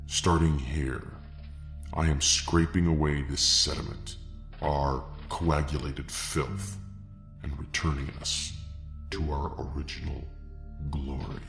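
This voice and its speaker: A man acts out a grim, fanatical father in a horror tale, with an extremely low voice. He declaims slowly and solemnly, as if preaching a manifesto. The voice echoes heavily. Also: full character voice. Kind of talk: dramatic horror monologue. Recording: echoing voice under loud droning music. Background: ominous music.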